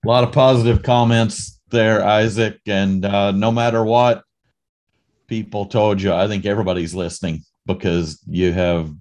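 A man's voice: lowish voice, steady tone, moderate pace, darkish tone. A man hosting an online leadership session, friendly and upbeat as he thanks the guest. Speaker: host. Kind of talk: hosting a video call.

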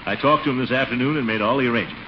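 An actor playing a pompous, blustery middle-aged commissioner who is easily exasperated, in 1940s radio sitcom style. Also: male voice, mid-range voice, racing, close to an everyday voice. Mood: pleased, evasive. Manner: pompous, blustering, chuckling, exclamatory. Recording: noisy, muffled 1940s radio broadcast recording.

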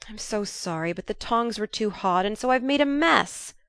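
A woman's voice: medium pitch, lively intonation, moderate pace, fairly bright tone, clear, resonant tone, dry recording, studio quality, voice pushed up. Woman as a blunt, hearty tomboy teenage girl. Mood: remorseful. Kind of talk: audiobook character voice.

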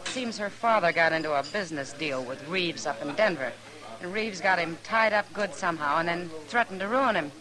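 A woman's voice: fairly low pitch, expressive, normal speed, close to an everyday voice, poor audio. An actress playing a frank, familiar saloon woman, plain-spoken and knowing, in a western radio drama. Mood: scornful, confiding. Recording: old radio drama, saloon crowd behind.

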